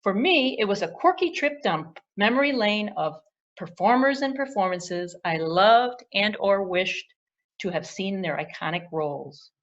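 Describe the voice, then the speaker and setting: A woman's voice: medium pitch, lively intonation, unhurried, highs cut off. A woman storyteller and puppeteer reviewing a children's book in conversation with a puppet.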